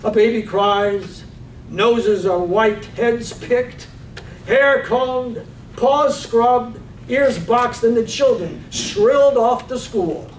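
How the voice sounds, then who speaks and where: A man acting as the lyrical storyteller of a radio play, savouring each phrase in measured, poetic narration. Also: very high-pitched. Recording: echoey, distant microphone, faint room noise.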